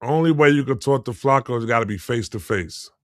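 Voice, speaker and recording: A man's voice: mid-range voice, a little fast, a bit bright, no room sound, studio quality. A man on a casual podcast who talks bluntly and repeats himself. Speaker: podcast co-host. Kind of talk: casual chat.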